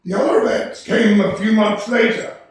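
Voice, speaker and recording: A man playing a brisk, commanding senior angel narrating in a radio play, heard in an echoey hall. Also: high-pitched, fairly even intonation, distant mic, a little hiss or hum, natural voice. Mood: brisk, instructive. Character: brisk, authoritative senior male angel telling a life story. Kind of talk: 1940s radio play acting on stage.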